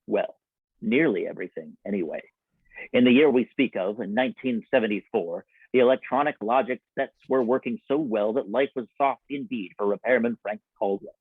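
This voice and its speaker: A man's voice: mid-range voice, husky, no echo. Dull-sounding, dry theatrical male radio announcer. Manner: theatrical, deliberate announcer delivery. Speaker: announcer and narrator. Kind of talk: scripted old-time radio announcing. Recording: dull, band-limited radio broadcast sound.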